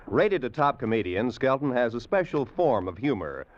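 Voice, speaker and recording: Male announcer, upbeat polished promo voice, mid pitch, dull old radio sound. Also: sing-song, moderate pace. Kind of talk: 1940s radio promo reading.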